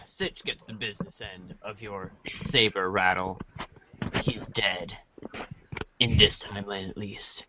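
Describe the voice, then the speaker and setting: A man's voice: lowish voice, fairly even intonation, unhurried, background noise. A man reading narration aloud as a cast member on a podcast.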